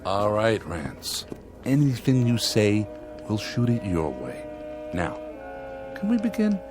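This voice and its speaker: A meek placating assistant. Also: male voice, lowish voice, huge pitch swings, takes it slowly, over music, exaggerated voice.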